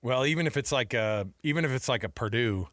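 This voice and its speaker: A man's voice: mid-range voice, animated, quick pace, fairly bright tone, no echo, clean studio sound. An American man co-hosting a sports radio show in a casual, breezy, colloquial way.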